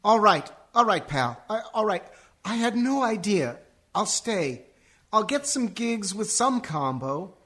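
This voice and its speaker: A performer playing a hesitant, reluctant grown son in a comic radio play, speaking haltingly. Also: medium pitch, very animated, a bit bright. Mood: resigned.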